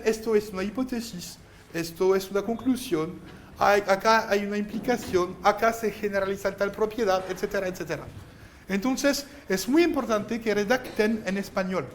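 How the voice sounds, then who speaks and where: A man whose first language is French, lecturing a university math class and explaining each step with halting restarts. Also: high voice, a little hiss or hum. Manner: explains step by step, insistent, gently scolding; halting, restarts while writing on board. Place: lecture hall.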